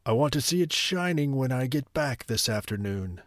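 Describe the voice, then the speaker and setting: A man's voice: mid-range voice, animated, moderate pace, no echo, studio quality, voice pushed up, natural voice. A man doing a stern old sorcerer. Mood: commanding. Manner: stern, commanding.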